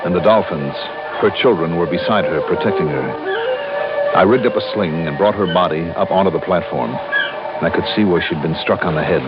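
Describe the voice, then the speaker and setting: Low-voiced hard-boiled radio investigator played by a man. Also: normal speed, natural voice. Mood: measured narration. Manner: terse, commanding. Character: hard-boiled, businesslike male insurance investigator. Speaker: lead actor and narrator. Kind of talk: old-time radio detective acting and narration. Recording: dull old radio recording with music.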